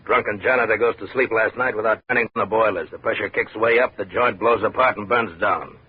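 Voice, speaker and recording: A big, dismissive builder blusters in 1950s radio style. The voice is lowish and husky, coming through a narrow, muffled old recording. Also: male voice, a little fast, no echo. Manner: blustery, dismissive, smooth-talking evasive. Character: big, brusque, bullying male building contractor. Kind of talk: 1950s radio drama acting.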